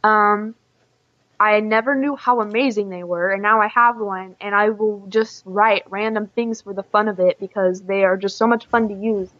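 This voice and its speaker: A woman's voice: mid-range voice, steady tone, normal speed, no echo. A young woman with an American accent chatting informally as an interview guest on a voice call. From USA. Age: young adult. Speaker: interview guest, exchange student. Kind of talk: casual chat. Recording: dull lo-fi internet call audio.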